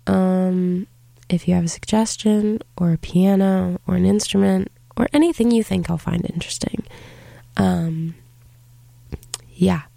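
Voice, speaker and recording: A woman's voice: lowish voice, steady tone, a little slow, gravelly, dark tone, dry recording. A woman hosting a college radio show from a studio, talking casually with many fillers while congested from a cold. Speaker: radio host. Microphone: studio microphone.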